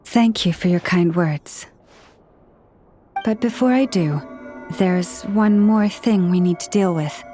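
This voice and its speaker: An actress voicing a calm, earnest young woman leader who speaks in a quiet, measured, solemn way. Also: on the low side, faint music behind. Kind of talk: video game dub acting.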